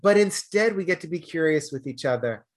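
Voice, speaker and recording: An earnest, emphatic man with a Boston accent speaks as a guest in a relaxed interview. His voice sits somewhat high for a man. When a point excites him, he turns loud and forceful. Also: brisk, noisy background. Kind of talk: casual interview chat.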